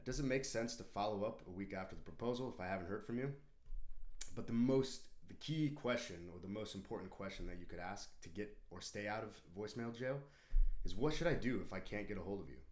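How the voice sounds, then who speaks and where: A man in his thirties presenting a scripted sales-training explainer to camera from a small home office. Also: low-pitched. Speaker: sales trainer and video host. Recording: roomy home-office echo.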